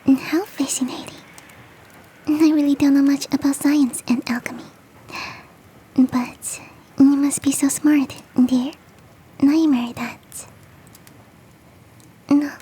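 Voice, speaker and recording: A woman voicing a big, sweet, doting female yeti in a soft, breathy, gently coaxing whisper, as ASMR roleplay. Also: high-pitched, fairly even intonation, slow pace, pauses often, poor audio. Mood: bashful, admiring. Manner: gentle, coaxing, affectionate, self-deprecating.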